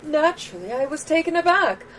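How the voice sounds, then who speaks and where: A woman's voice: high voice, lively intonation, brisk, a bit bright, a little hiss or hum. A woman voicing a polite, naive and dramatic young lady in a video game, telling her story in a prim, earnest way.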